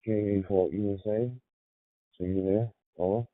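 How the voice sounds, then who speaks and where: A man chatting casually and haltingly as an amateur radio operator, heard over a radio link. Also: fairly low pitch, steady tone, slight background noise. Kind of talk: casual radio contact chat. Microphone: digital ham radio transmission. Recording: tinny phone-like radio link.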